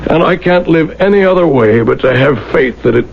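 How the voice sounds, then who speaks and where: Devoted, pleading husband, voice swooping emotionally. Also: male voice, a little high, lively intonation, moderate pace, vocal fry. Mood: earnest, gentle. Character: devoted, sorrowful merchant husband, gentle and pleading. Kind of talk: 1970s radio drama acting. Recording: dull, noisy old radio recording.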